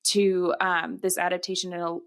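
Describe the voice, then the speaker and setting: A woman co-hosting a casual discussion podcast, often pausing and searching for her words as she explains. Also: lowish voice, level tone, creaky, fairly bright tone, smooth. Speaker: podcast co-host. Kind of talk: casual book-adaptation discussion.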